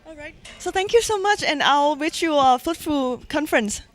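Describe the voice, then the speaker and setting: A woman with a high-pitched voice interviews guests at a conference. She asks short, polite, prepared questions and thanks each guest in turn. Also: expressive, moderate pace, fairly bright tone.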